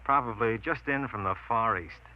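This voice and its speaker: A man's voice: mid-range voice, moderate pace, a little hiss or hum, close to an everyday voice. An American man acting a blunt, dryly bantering police detective in a vintage radio drama. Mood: dryly flirtatious. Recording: dull vintage radio recording.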